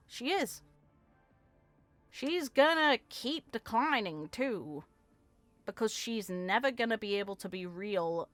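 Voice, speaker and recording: A woman's voice: medium pitch, fairly bright tone, no room sound. A woman giving casual, reflective commentary on a video game in an informal, opinionated way.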